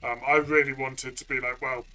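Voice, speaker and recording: A man's voice: medium pitch, level tone, brisk, bright, forceful tone, rough recording. A man co-hosting a casual review discussion from a player's side, agreeable and conversational, feeling out his phrasing. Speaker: co-host and player.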